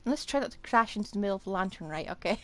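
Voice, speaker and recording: A woman's voice: mid-range voice, lively intonation, rapid-fire, fairly bright tone. A woman streaming a game, giving casual commentary and musing half to herself.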